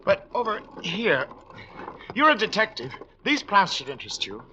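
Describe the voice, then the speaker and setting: An actor playing a wasted, morbid invalid obsessed with poisons, lecturing with theatrical relish in 1940s radio style. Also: male voice, high voice, big pitch swings, normal speed, sharp tone, a little hiss or hum, exaggerated voice. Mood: macabre relish. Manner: theatrical, eager, relishing his macabre lectures. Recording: dull, lo-fi 1940s radio recording.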